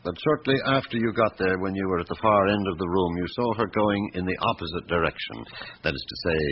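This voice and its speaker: An actor playing a stern, methodical French police chief inspector who questions with measured authority in a radio drama. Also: male voice, sits low. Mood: grave, firm. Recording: muffled old radio recording, background hiss.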